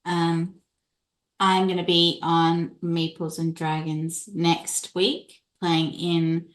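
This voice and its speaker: Female gamer, lowish voice, relaxed casual chat, self-mocking, roomy echoing sound. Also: slow pace. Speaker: tabletop game player.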